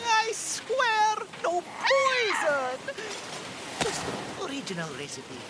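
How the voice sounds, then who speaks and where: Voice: very high voice, huge pitch swings, slow, exaggerated voice. An actor playing a mischievous, eager pirate drink-maker who speaks broken English in an audio drama. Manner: broken, article-dropping English. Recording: loud effects noise behind voice.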